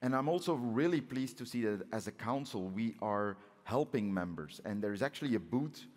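A man in his forties giving a measured, explanatory keynote speech at a conference, as the president of an organisation. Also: fairly low pitch, animated, a little mellow. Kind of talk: prepared conference speech with slides. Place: on stage in a large conference auditorium. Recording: reverberant large hall sound.

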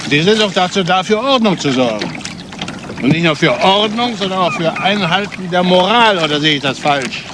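A man's voice: high voice, lively intonation, moderate pace, background noise. An actor playing an indignant, moralizing older father who guards his family's honour, pompous and disdainful, in a crime radio play. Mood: pompous, insinuating.

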